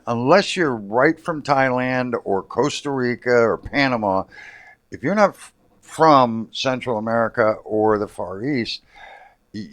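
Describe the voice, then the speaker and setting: A man hosts a fishing show in a loose, rambling way, full of jokes and everyday slang. His voice sits at an ordinary middle pitch. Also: fairly bright tone. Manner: joking, rambling, colloquial. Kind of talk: casual chat.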